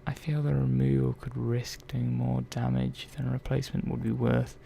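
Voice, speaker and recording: A man plays a gently chatty, curious technician who tends to robots in an ASMR roleplay. His soft voice is mellow and heavily fried, and he thinks aloud in an unhurried murmur. A faint noise sits behind him. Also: medium pitch, little pitch movement, gravelly. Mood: gently absorbed.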